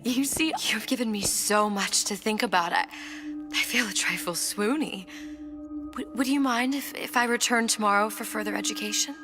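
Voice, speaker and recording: A woman's voice: mid-range voice, normal speed, over music, lightly acted. A young woman playing a poised, shrewd femme fatale in a film noir video game, articulate and formal. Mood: coy, breathy flattery.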